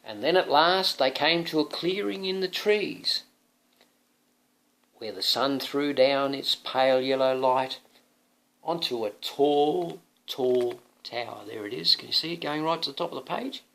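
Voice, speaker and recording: A man reading a children's storybook aloud in an animated, playful way, like a dad with questions for his young listener. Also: mid-range voice, a little slow, gravelly, poor audio. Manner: dramatic, playful, often asking the listener questions. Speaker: father reading a picture book to his young son.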